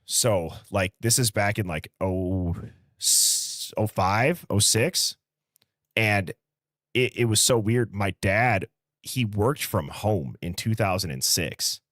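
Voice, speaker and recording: Low-voiced American man, casual livestream host. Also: animated, slow, a bit bright, dry recording, studio quality. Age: early 30s. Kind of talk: casual live-stream chat, reading out viewer comments.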